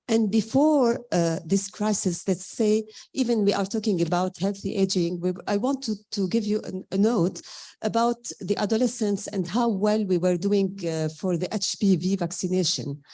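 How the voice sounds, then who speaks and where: A woman from Lebanon speaks with a Lebanese accent in a soft-edged, mellow tone at a medium pitch. She makes her case emphatically in unscripted panel remarks, like a professional addressing colleagues at a roundtable. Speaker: community pharmacist, roundtable participant.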